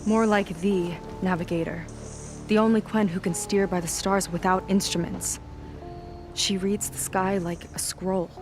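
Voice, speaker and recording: An actress playing a proud, determined young naval petty officer in a video game cutscene, speaking with earnest intensity. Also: female voice, mid-range voice, fairly even intonation, a little slow, close to an everyday voice. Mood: earnest, resolved. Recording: music behind the voice.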